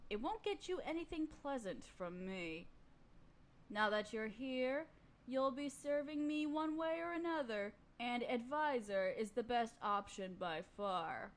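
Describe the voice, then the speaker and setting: A woman's voice: fairly high pitch, animated. A woman playing an imperious evil queen and sorceress in a fantasy audio roleplay, speaking formally with pompous, condescending menace. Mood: smug, threatening. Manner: formal, pompous, condescending, slow deliberate delivery. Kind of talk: scripted fantasy audio roleplay addressed to listener.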